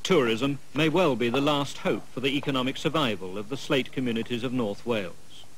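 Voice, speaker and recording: Male documentary narrator, even delivery. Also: medium pitch, brisk, slight background noise, low-quality recording. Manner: even, informative. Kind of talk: scripted narration.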